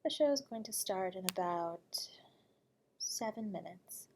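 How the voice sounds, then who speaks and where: A woman's voice: medium pitch, animated, slow pace, background noise. A woman playing a whispery undead horror host. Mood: silky, teasing. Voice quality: soft, whispery and breathy. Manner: courteous and teasing, chuckling often. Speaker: late-night horror radio host. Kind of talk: audio roleplay addressing the listener.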